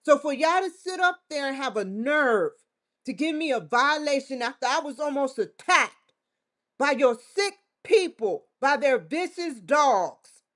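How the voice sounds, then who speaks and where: A woman's voice: sits high, a bit bright. A woman vlogger giving a defiant, confrontational monologue straight to the camera, swearing often. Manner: defiant, confrontational, with frequent profanity. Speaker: vlogger hosting her own show.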